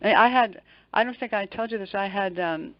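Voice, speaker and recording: A woman's voice: mid-range voice, normal speed, no echo, dull audio. A middle-aged or older woman, a motivational coach answering as an interview guest, earnest and emphatic, often posing questions and answering them.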